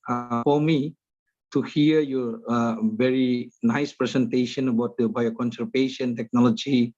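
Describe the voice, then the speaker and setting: Hoarse Indonesian-accented man, unhurried and halting, muffled call audio. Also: mid-range voice, a little mellow. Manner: slow, halting, many pauses and fillers. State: hoarse from a flu. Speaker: audience member asking a question. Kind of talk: online seminar question.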